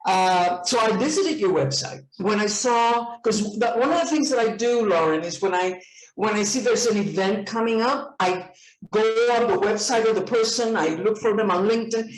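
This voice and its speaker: A woman in her seventies from Puerto Rico speaks with eager enthusiasm, doubling back on words as her thoughts run ahead. Her voice sits at a middling pitch. The call has a roomy, echoey sound. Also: moderate pace. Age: 70s. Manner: enthusiastic, repeats words when excited. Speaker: participant. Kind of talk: casual video-call chat.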